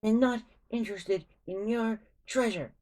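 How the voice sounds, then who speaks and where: A woman's voice: a little high, a little slow, a little mellow, voice pushed up, lightly acted. A woman voicing an awkward, earnest young Viking boy who can turn indignant, in a storybook. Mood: cold indignation.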